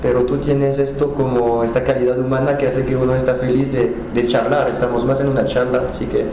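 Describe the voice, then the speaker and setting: French guy talking spanish, kinda halting, mellow tone, super echoey room with faint hum. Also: medium pitch, flat delivery. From France. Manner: halting, restarts words, friendly. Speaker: guest, cultural center director. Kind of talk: casual conversational interview.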